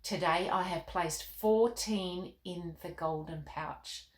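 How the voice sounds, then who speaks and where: A woman voicing a kindly, majestic king in a children's storybook, measured, gentle and reassuring. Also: fairly low pitch, reverberant. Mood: patient, explaining.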